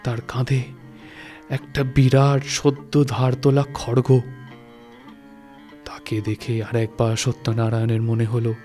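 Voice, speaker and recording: A man narrating a literary story in a dramatic, suspenseful way, with expressive phrasing and deliberate pauses. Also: mid-range voice. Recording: studio recording with music. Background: music and night insect sounds.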